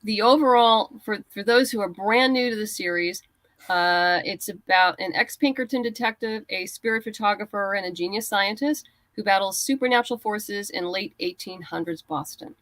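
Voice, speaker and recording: Female guest creator, conversational and explanatory, slightly halting delivery, middle pitch, dry recording. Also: a bit bright, dry recording. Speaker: guest writer and comics creator. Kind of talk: casual interview chat.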